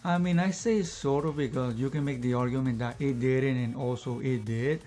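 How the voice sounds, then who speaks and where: A man casually reviewing an anime episode in one unscripted take, slightly out of breath and rambling, filling pauses with "you know" and "I guess you can say.". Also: medium pitch, warm tone, faint music behind.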